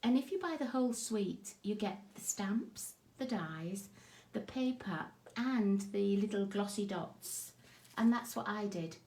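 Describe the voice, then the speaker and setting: Chatty woman with a raspy sore throat demoing crafts live, mid pitch, friendly asides. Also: animated, a little slow, mellow. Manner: chatty, greets viewers by name, self-deprecating. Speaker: craft demonstrator hosting a live stream. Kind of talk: casual live craft tutorial chatting with viewers. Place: craft desk indoors, overhead camera on hands.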